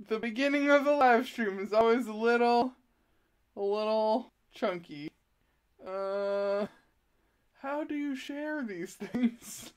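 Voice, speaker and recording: Woman, artist, live stream from her studio, unhurried, fairly high voice, laughs at herself. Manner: self-deprecating, giggly, fumbling with the tech. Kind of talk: casual live stream chat. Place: art studio. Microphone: laptop, DSLR and chest-mounted webcam.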